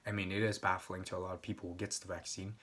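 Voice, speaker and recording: A man's voice: sits low, level tone, fast, fairly bright tone, a little hiss or hum. Rambling, swearing young man. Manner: rambling, swears often, frequent restarts and stammering. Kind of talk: casual rambling monologue.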